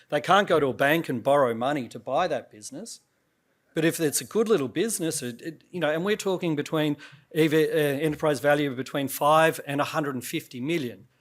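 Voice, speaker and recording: A man, a company CEO, pitching on stage at an investor event, his voice a little croaky and his talk loose, full of fillers and restarts. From Australia. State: slightly croaky voice.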